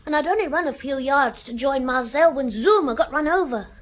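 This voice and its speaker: A woman's voice: high voice, lively intonation, a little fast. A woman playing an anxious, evasive village schoolboy in a radio drama, hesitant, naive and defensive. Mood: sheepish, confiding.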